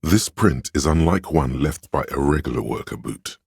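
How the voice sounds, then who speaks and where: An actor voicing an erudite, worldly inner voice of knowledge in a video game, narrating facts in a measured, dry, scholarly way. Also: male voice, extremely low pitch, animated, vocal fry, husky, dry recording, heavily acted. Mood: measured, knowing.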